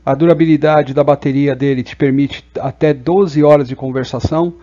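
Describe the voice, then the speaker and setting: Mellow Brazilian man explaining a product. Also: on the high side, fairly even intonation, normal speed, lo-fi sound. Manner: explanatory, checks in with frequent tag questions. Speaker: presenter reviewing products.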